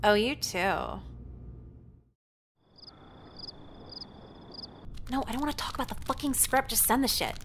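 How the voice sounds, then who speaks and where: Sarcastic high-pitched teenage girl, noisy backdrop. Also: fast. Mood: irritated. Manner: deadpan, flippant, profane. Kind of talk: visual novel voice acting.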